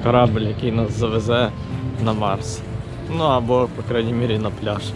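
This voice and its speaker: A man's voice: medium pitch, steady tone, normal speed. A man narrating his own travel vlog in a casual voice-over, with wry, joking asides. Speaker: travel vlogger. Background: music.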